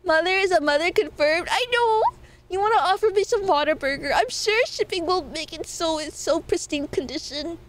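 A young woman streamer with a nasal voice, chatting casually and playfully with her viewers. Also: very high-pitched. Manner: rambling, playful, quick laughs and swearing. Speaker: VTuber streamer.

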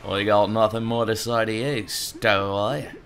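A man's voice: on the low side, fairly even intonation, moderate pace, a bit bright, slight background noise. A man voicing a hostile, curt pirate sailor in an adventure game. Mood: surly.

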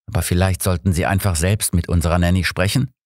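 A man's voice: low-pitched, steady tone, quick pace, dry recording, clean studio sound, natural voice. A man voicing a chatty, conspiratorial young mother in an audiobook. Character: gossipy, secretive working woman, young mother.

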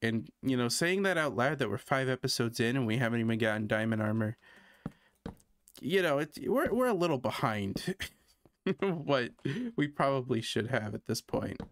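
A man's voice: mid-range voice, expressive, moderate pace, dry recording. A man from Canada chatting casually while streaming a building game, thinking aloud and poking fun at himself. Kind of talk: casual gameplay commentary.

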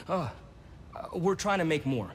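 A man's voice: animated, normal speed, close to an everyday voice. An actor playing a nervous male lab technician in a video game, hesitant and stammering. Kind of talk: video game cutscene acting. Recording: game audio with long reverb and faint rumble.

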